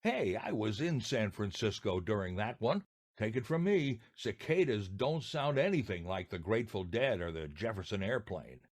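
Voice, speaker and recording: An older American man with a slightly low, very animated voice. He co-hosts a podcast, trading scripted banter in a jokey, theatrical way. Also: moderate pace. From New Jersey, United States. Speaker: podcast co-host.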